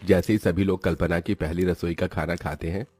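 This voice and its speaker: A man's voice: low-pitched, fairly even intonation, a little fast, a little mellow, breathy edge, no echo, studio quality. A man narrating a scripted children's moral story as its storyteller.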